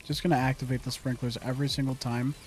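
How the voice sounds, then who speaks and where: A man's voice: mid-range voice, flat delivery, fast talker, a little hiss or hum. A young man giving casual gameplay commentary, muttering to himself, humming and repeating phrases. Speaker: gaming streamer.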